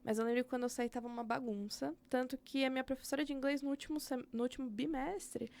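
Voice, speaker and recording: A woman's voice: high-pitched, fairly even intonation, fast talker, darkish tone. A young woman with a Brazilian Portuguese accent, speaking candidly as a podcast guest about her work as an educator, thinking aloud with frequent fillers. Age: young adult. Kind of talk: casual interview conversation.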